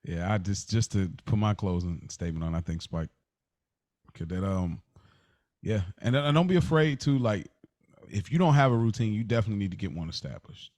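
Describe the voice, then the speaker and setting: A man's voice: on the low side, lively intonation, brisk, darkish tone, no echo, clean studio sound. An American man co-hosting a podcast, giving casual advice in slangy, conversational talk. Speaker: podcast co-host.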